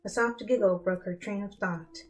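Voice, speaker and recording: A woman's voice: medium pitch, steady tone, normal speed. A woman narrating a story as a dramatic reading, with instrumental music behind her. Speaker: fan fiction reader.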